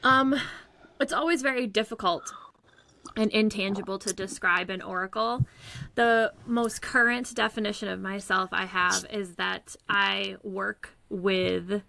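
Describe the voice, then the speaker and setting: A woman's voice: mid-range voice, fairly even intonation, measured pace, gravelly, bright, forceful tone, rough recording. A woman guest explaining her energy healing work over a call, earnest and searching for words. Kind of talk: casual explanatory talk. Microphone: remote call.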